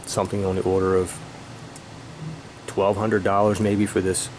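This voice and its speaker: A middle-aged man with a fairly low voice talks casually, reminiscing as a keen hobbyist. His phrasing comes in halting, enthusiastic bursts. A faint steady room hum sits behind him. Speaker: audio hobbyist presenting own video. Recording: steady room noise, air conditioning hum.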